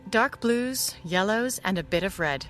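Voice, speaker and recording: A composed, knowing confidante, with a mid-range voice. She speaks gently in radio drama style over faint music. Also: medium pitch, animated, measured pace. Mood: gentle, knowing.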